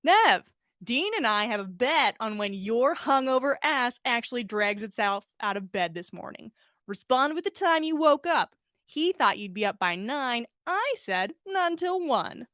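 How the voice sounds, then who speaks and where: Woman plays cheeky young male wizard, brisk and teasing, raised pitch, storybook read. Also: big pitch swings, a bit bright, no room sound, studio quality.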